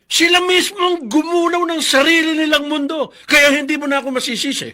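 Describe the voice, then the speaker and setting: A man's voice: extremely high pitch, fairly even intonation, a little fast, pushed and bright. A man with a Filipino accent playing a bitter, unforgiving middle-aged father in a radio drama, indignant and sarcastic.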